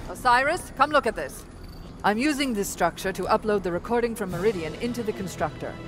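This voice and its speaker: A woman's voice: mid-range voice, lively intonation, brisk, soft background music, natural voice. An actress playing a brilliant, aloof older woman scientist, speaking in a precise, cool, lecturing way in a game cutscene. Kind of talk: video game cutscene acting.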